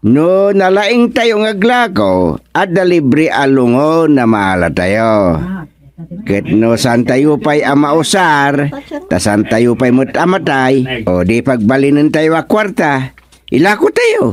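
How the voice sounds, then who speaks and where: Joking village chairman, ilocano accent, slow drawl, mid pitch, laughs a lot. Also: sing-song. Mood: scheming. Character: village chairman, middle-aged man, scheming joker. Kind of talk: radio drama comedy acting.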